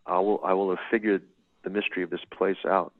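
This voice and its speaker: A man's voice: deep voice, little pitch movement, fast talker, no room sound. A middle-aged man from California, an author answering interview questions thoughtfully, often repeating words and restarting his sentences. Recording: dry tinny video-call audio.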